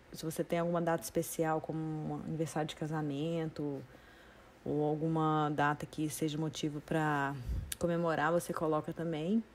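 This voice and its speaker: A woman's voice: fairly low pitch, dark tone. A woman with a Brazilian Portuguese accent, chatting casually to her viewers as she explains a tip and walks through meal prep in her home kitchen. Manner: chatty, informal, addresses viewers directly. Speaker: vlogger. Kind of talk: casual how-to narration.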